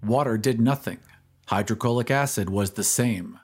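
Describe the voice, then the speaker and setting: A man reads a scripted horror story as a dramatic narrator, in a deliberate, literary storytelling manner. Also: lowish voice, a little mellow, husky. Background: ambient sound effects.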